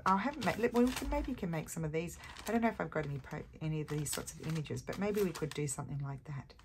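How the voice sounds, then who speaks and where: Low, scratchy-voiced woman crafting at her desk. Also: lively intonation, fast talker. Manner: chatty, self-mocking, thinks aloud while working. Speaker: craft tutorial host. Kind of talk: casual crafting tutorial. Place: indoor craft table. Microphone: overhead camera on a desk.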